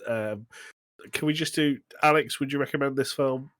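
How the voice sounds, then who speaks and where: A man's voice: medium pitch, moderate pace, fairly bright tone, no room sound. A man hosting a casual, comedic film chat in a joking, sarcastic way, given to exaggeration. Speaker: podcast host.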